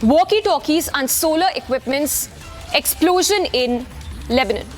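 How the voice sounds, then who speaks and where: A woman's voice: sits high, expressive, normal speed, in a large hall, faint music behind. A woman anchoring a live news bulletin, reading it loosely with many fillers and restarts.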